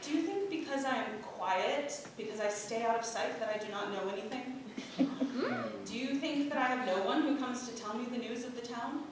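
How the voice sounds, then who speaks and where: A woman playing a frail, quiet, polite Puritan wife who is secretly shrewd, in a staged reading of a period play. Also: fairly high pitch, moderate pace, lightly acted. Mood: amused. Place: theatre stage, picked up from far off. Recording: very roomy, distant, noisy theatre recording.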